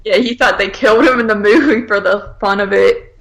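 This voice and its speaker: A woman's voice: medium pitch, a little fast, gravelly, fairly bright tone. A woman co-hosting a podcast, chatting casually with blunt, sweary, brief reactions. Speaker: podcast co-host. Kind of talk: casual chat.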